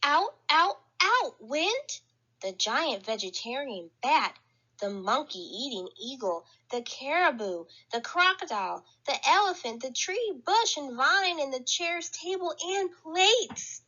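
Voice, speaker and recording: A woman's voice: high-pitched, big pitch swings, slow pace, bright, forceful tone, muffled recording. A woman reading a picture book aloud to children at story time, playfully acting out sounds and exclamations. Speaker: library storyteller.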